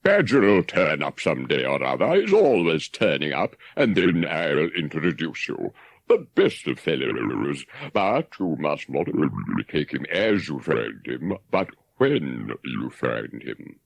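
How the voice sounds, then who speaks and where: Male reader as genial storybook water rat: low, drawling, reassuring, with very lively intonation. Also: slow, gravelly, dry recording, full character voice. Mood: easygoing, reassuring.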